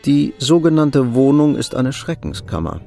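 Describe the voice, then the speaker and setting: Male radio play narrator, gravelly creak, dry irony, measured, soft guitar music behind. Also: medium pitch, big pitch swings. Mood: dryly ironic. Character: wry, detached male storyteller of a married couple's troubles.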